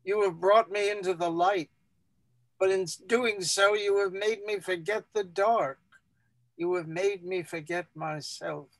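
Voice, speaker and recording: A man giving a dramatic, measured reading of a literary text. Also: high voice, steady tone, fairly bright tone, dry recording, poor audio.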